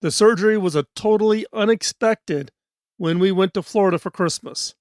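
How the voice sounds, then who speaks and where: A middle-aged man hosting a podcast in a studio. He talks in an earnest, measured, scripted way, and his voice is hoarse. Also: high voice, darkish tone, no echo. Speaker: podcast host.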